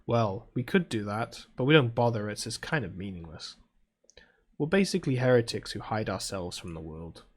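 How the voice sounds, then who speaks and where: Soft-spoken British man, chilled delivery. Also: fairly low pitch, lively intonation, normal speed, breathy edge. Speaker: gaming video host. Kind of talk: reading visual novel text aloud with casual asides.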